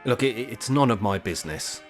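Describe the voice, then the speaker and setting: A man acting in an amateur radio play as a sentimental older widower, speaking in an earnest, halting, reflective way. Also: on the low side, expressive, faint music behind, close to an everyday voice. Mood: anxious, apologetic. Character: sentimental, guilt-ridden older widower in a care home.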